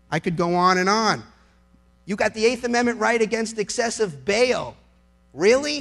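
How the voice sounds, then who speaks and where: A man's voice: extremely high pitch, gravelly, fairly bright tone. An American man, a lawyer, giving an impassioned public speech, emphatic and sardonic, using rhetorical questions and repeating phrases for effect.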